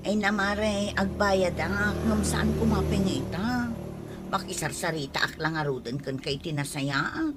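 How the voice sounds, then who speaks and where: A woman's voice: medium pitch, a little slow, over music, close to an everyday voice. A woman playing an exasperated, bossy wife dodging debts, argumentative, in Ilocano-accented radio comedy drama. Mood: evasive.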